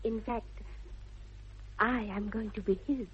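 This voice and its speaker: Breathy, demure English young lady. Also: fairly high pitch, steady tone, takes it slowly, full character voice. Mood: demure, confiding. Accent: upper-class English. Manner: demure, polite, carefully formal. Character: sweet, prim, self-possessed young English girl of good family. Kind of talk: 1960s radio comedy of manners acting. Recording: dull vintage 1960s radio recording.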